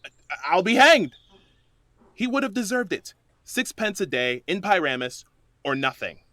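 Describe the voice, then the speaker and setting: A man plays an agitated working man in a Shakespearean audio drama. He is emphatic and excitable, with a bright, highish, lively voice. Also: a little slow, no room sound, full character voice. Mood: indignant. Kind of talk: Shakespearean audio play acting.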